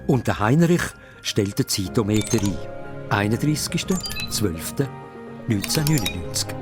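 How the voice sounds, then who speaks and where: A man with a Swiss German accent narrating a children's audio story in an animated, scripted way. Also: on the low side, normal speed, over music.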